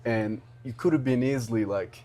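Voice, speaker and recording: A young man from Rwanda hosting a podcast, talking in an earnest, halting way with many restarts. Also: mid-range voice, mellow. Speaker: podcast host. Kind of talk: casual interview chat.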